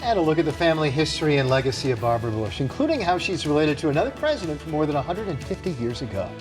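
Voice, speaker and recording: Quick American male news anchor. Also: a little high, sing-song, over music. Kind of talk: scripted news introduction.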